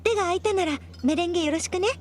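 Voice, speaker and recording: Young female kitchen worker for a romance comedy game: piping high, racing speech. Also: racing. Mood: friendly request. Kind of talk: visual novel voice acting.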